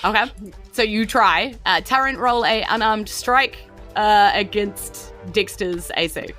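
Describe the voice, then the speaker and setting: A woman narrating a tabletop game as game master in an informal, animated storytelling way. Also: mid-range voice, measured pace, soft background music.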